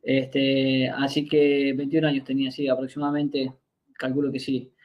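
A man's voice: mid-range voice, monotone, normal speed, muffled recording. A man in his twenties with an Argentine accent, a podcast guest telling a long story in a casual, hesitant way, full of fillers and restarts. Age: 20s. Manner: hesitant, many fillers and restarts while recounting.

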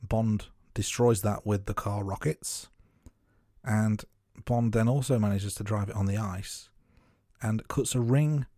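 A man co-hosting a podcast, recapping film scenes in a steady, casual way with some fillers. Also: fairly low pitch, measured pace, no room sound, clean studio sound. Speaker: podcast co-host. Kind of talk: casual film review chat.

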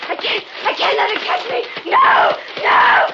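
An actress playing a distraught wife searching in the dark for her husband, breathless and melodramatic, in an old radio horror drama. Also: a little slow, close to an everyday voice. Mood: frantic, hysterical. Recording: muffled, noisy old radio broadcast.

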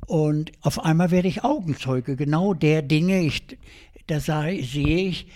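An older man, a guest in an interview, telling his life story in a lively, colloquial way with many fillers and restarts. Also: on the high side, measured pace. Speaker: guest adventurer.

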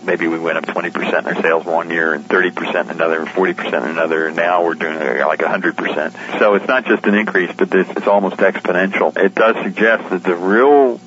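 A man's voice: low voice, steady tone, quick pace, few pauses, background noise. A man being interviewed on radio as a publisher, explaining things conversationally with informal asides. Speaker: publisher and editor of a shortwave radio guide, interviewee. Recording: dull, lo-fi radio interview line.

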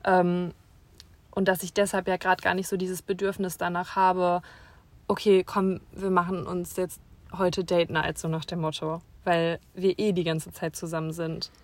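Eine junge Frau, die als Co-Gastgeberin locker mit ihrem Partner plaudert, zögernd und mit vielen Neuanfängen. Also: female voice, lowish voice, little pitch movement, unhurried, no room sound. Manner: hesitant, many restarts and fillers. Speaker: podcast co-host. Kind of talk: casual couple chat. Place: small camper van.

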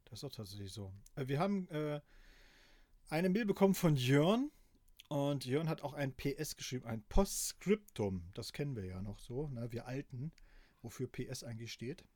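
A middle-aged man from East Germany co-hosting a podcast, reading aloud in a dry, deadpan way and chatting casually. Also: mid-range voice, normal speed, no echo. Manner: dry deadpan delivery, laughing at his own reading. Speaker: podcast co-host.

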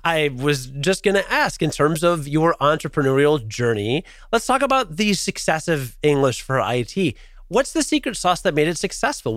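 A man's voice: a little high, fairly bright tone, no room sound, studio quality. A man hosting a podcast, reading a polished intro and asking interview questions. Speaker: podcast host.

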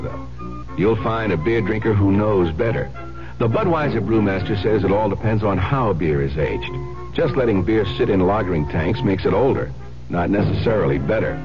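An American man delivers a radio commercial in a low, animated voice. He sells in a folksy, emphatic way. Music plays behind him on a dull old recording. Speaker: commercial announcer. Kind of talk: scripted radio advertisement.

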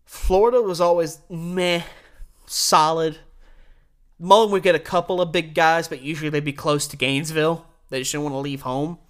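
A man's voice: a little high, fairly even intonation, normal speed, clean tone. An American man hosting a sports show, delivering an opinionated solo commentary in an emphatic, conversational way. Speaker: sports podcast and radio host.

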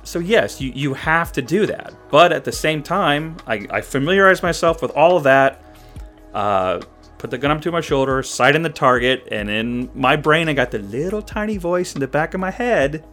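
A man who is a guest on a podcast, telling stories in a rambling, halting way. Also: fairly high pitch, expressive, fairly bright tone, soft background music. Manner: rambling storyteller, halting restarts. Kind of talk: casual podcast chat.

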